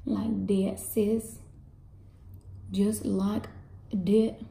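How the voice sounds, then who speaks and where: A young woman in her twenties, with a slightly raspy voice that is deep for a woman, chatting casually and candidly to her viewers while doing her makeup in a room at home. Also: flat delivery, very slow, mellow, echoey room. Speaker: makeup artist and beauty vlogger. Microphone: camera in front, face framed close.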